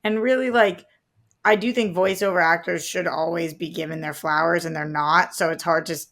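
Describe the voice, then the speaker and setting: A woman's voice: fairly low pitch, fairly even intonation, moderate pace, fairly bright tone. A woman co-hosting a movie podcast, sharing her opinions in casual conversation. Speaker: podcast co-host reviewing movies. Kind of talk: casual chat, opinionated discussion.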